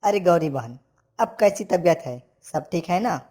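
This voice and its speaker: A generous village merchant man, voiced by a woman in a cartoon tale. The voice is lowish, with a reassuring, brotherly way of talking. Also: animated, unhurried, dark tone. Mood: friendly.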